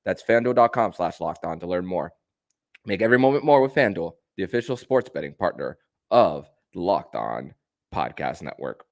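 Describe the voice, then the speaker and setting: A man's voice: low voice, very animated, moderate pace, no room sound, clean studio sound. A man speaking as the host of a sports podcast. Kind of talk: podcast interview.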